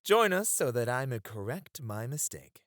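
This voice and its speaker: A man's voice: medium pitch, very animated, normal speed, fairly bright tone, no room sound, studio quality. A man playing a teasing, dramatic, flirty club host in an ASMR roleplay, with a coy, effusive manner. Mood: flustered.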